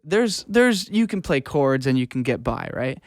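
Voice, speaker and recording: A man's voice: mid-range voice, sing-song, normal speed, dry recording, studio quality. A man speaking as an interview guest, a music producer explaining things earnestly in casual conversation and often checking that he is understood.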